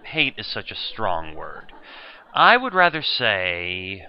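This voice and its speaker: Audio drama: bookish, precise teenage brainiac, male actor, expressive pitch, muffled lo-fi sound. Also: takes it slowly, full character voice. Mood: dry, scheming. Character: brainy, wordy, fussy nerdy teenage schoolboy. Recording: dull lo-fi recording, crowd noise behind.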